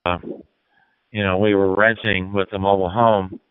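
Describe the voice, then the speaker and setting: A man reminiscing casually and haltingly as an amateur radio operator over a radio link. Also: sits low, little pitch movement, a little hiss or hum. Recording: tinny narrowband radio transmission.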